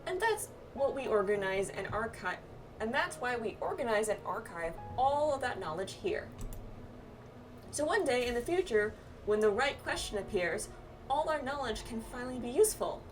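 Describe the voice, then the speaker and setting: Lady reading out a chatty astrologer guide in a fantasy game, breezy n sure of herself, highish, bit off mic w music. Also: very animated, moderate pace. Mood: playful, boastful. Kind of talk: reading video game quest dialogue aloud. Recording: distant mic, music behind.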